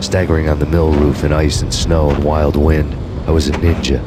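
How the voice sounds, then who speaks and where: An actor playing a world-weary, wry, brooding detective in a video game, narrating in grim noir deadpan. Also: male voice, a bass voice, very noisy. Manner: slow, grim, poetic deadpan.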